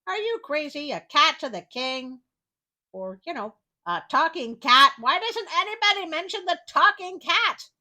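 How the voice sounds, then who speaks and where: Woman doing a brash, high-pitched castle sentry. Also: sing-song, a little slow, sharp tone, heavily acted. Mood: incredulous. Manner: challenging, exclamatory.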